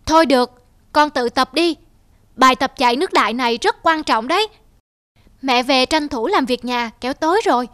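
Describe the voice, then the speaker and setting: A woman's voice: sits high, normal speed, fairly bright tone, no room sound. A woman voicing a stern but caring mother leopard in a children's cartoon, with a firm, instructive manner.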